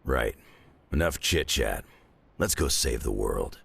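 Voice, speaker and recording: A man playing a gruff, wry, battle-hardened hero in a video game, with a gravelly rasp. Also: rumbling low, lively intonation, slow, fairly bright tone, slight background noise, exaggerated voice. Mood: warm, gruff. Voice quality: gravelly, raspy. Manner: laconic, wry.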